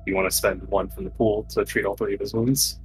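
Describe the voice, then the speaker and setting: A man's voice: fairly low pitch, level tone, brisk, husky, soft background music, rough recording. A man chatting casually as a player in a tabletop game.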